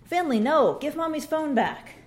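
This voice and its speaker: A woman's voice: sits high, animated, normal speed, pitched above the performer's own voice. A woman reading the lines of a frazzled, stressed young mother.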